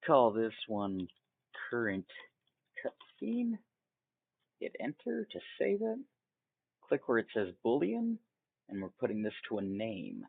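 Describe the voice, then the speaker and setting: A man giving a casual step-by-step software tutorial, folksy and thinking aloud as he goes. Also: on the low side, huge pitch swings, slow, vocal fry, pauses often, breathy edge, dry recording. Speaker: tutorial host. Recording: tinny, phone-like narrow band.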